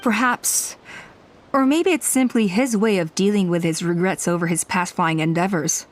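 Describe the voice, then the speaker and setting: A woman voicing a calm, cautious tribe elder. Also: lowish voice, slight background noise, lightly acted. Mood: measured. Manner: measured, patient, advising caution. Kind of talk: video game voice acting.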